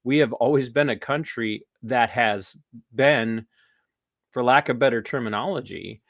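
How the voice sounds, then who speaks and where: Dry, rambling American podcast host. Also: male voice, mid-range voice, measured pace, vocal fry, no echo. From United States. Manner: rambling, animated, with restarts and laughs. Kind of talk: casual political talk.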